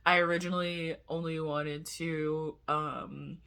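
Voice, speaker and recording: A man's voice: on the high side, level tone, takes it slowly, creaky, pushed and bright, smooth. A young man vlogging in a casual, rambling way, full of asides and giggles. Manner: chatty, giggly, talks in loose asides. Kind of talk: casual rambling chat to camera.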